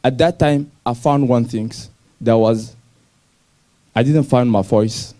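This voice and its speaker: A young man from Somalia telling his personal story to an audience in simple, halting English with restarts. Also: mid-range voice, warm tone. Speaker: speaker sharing his refugee story. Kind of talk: personal story talk on stage.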